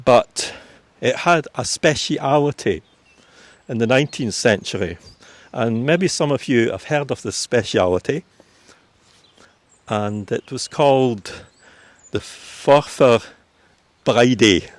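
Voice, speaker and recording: A Scottish man working as a tour guide, giving an informative, unhurried commentary with frequent fillers while walking outdoors through a village churchyard. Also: medium pitch, lively intonation, no room sound. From Scotland.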